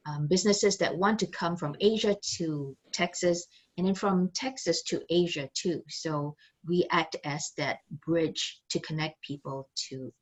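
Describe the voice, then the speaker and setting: A woman giving an orientation talk on a video call, conversational with many fillers, her phrases trailing off. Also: on the low side. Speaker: chamber staff presenter.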